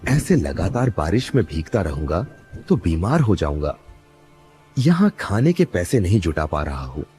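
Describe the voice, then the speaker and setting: A man's voice: deep voice, very animated, moderate pace, warm tone, soft background music. A man playing a poor, earnest village labourer in a cartoon moral story, with a pleading, trusting manner. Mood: distressed.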